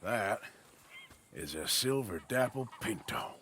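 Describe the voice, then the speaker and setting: A man's voice: lowish voice, expressive, slow pace, fairly bright tone, slight background noise. A man with an American accent playing a tough, laconic outlaw in a video game, blunt and dry. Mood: dry, easygoing. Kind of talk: video game cutscene acting.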